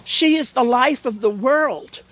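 Woman voicing an unhurried, wise old turtle. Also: sits high, a bit bright. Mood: solemn, tender. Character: ancient wise turtle, calm and solemn. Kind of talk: storybook character reading.

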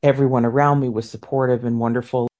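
A woman in her fifties with a low voice, an opera singer reflecting on her career in an interview, often repeating words as she goes. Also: fairly even intonation, fast, warm tone. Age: 50s. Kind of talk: interview answers.

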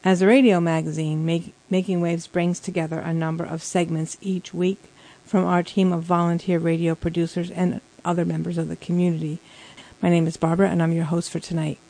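Female magazine show host, lower-than-average register, presenting scripted introductions. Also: level tone, a little fast, dark tone, low-quality recording.

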